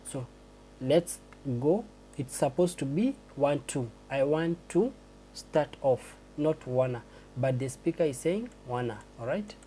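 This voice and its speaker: A man giving a screen-recorded tutorial on a transcription test, explaining slowly and hesitantly with many restarts. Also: a little high, expressive, warm tone, a little hiss or hum.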